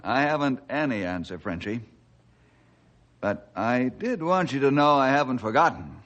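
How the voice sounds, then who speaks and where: An actor playing an upright, steady forest ranger, earnest and authoritative, in an old-time radio adventure. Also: male voice, mid-range voice, normal speed, highs cut off, natural voice. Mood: friendly, earnest.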